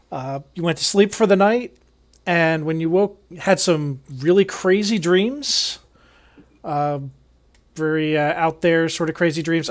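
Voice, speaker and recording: A young man serving as dungeon master, with a voice that sits a little high. He speaks from a home microphone on a video call and introduces players in a loose, halting way, filling gaps with "uh". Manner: hesitant, many "uh" fillers. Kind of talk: casual table talk, introducing players.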